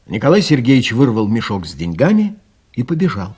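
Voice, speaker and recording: A man's voice: medium pitch, very animated, brisk, a little mellow, faint music behind. A man reading scripted literary narration for an audio play, telling the story expressively.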